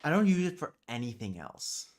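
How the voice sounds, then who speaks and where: A man's voice: fairly high pitch, very animated, normal speed, darkish tone. A man hosting a casual livestream, muttering and thinking aloud as he works, and easily exasperated. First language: British Sign Language. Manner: easily exasperated, swears under breath. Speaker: livestream host.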